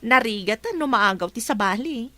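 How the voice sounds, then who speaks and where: A woman's voice: fairly high pitch, expressive, normal speed, sharp tone, no room sound. A woman acting as a possessive, watchful bakery wife in a comedy-drama radio play. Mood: chatty, possessive.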